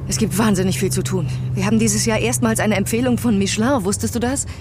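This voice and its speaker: An actress playing a middle-aged woman in a naturalistic audio drama, determined and quietly vengeful, speaking in a cool, controlled way. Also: medium pitch, fairly even intonation, fast talker, noisy background, lightly acted. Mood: matter-of-fact.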